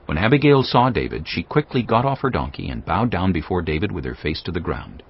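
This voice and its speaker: Deep, husky male scripture narrator. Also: big pitch swings, lo-fi sound. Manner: measured, solemn storytelling.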